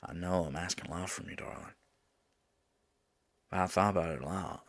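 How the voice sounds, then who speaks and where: A man playing a lovesick demon in an intimate ASMR roleplay, pleading tenderly with his angel lover in a gravelly, husky voice and folksy turns of phrase. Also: low voice, normal speed. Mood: pleading, devoted. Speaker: voice actor in an audio roleplay.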